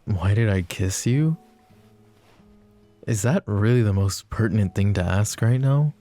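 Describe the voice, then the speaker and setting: A man's voice: fairly low pitch, expressive, measured pace, warm tone, faint music behind. A man playing a possessive, protective mafia boss in an intimate, sardonic boyfriend ASMR roleplay. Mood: earnest, tender. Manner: intimate, brooding, sighing. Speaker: voice actor.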